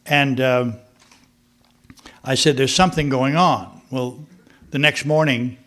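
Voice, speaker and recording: Halting older man, hesitant speech. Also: medium pitch, fairly even intonation, fairly bright tone. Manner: halting, many fillers and restarts. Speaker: former diplomat giving a talk. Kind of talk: unscripted talk on foreign policy.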